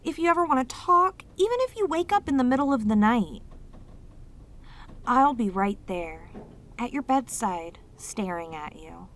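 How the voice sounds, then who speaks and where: A woman's voice: fairly high pitch, expressive, unhurried, a bit bright. A woman voicing a lonely, sweet, possessive demoness in an intimate roleplay audio drama, speaking tenderly and hesitantly to the listener. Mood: devoted, eager. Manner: tender, hesitant, slightly stammering, clingy, emotive. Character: lonely, sweet, possessive female demon, sealed away, obsessively devoted.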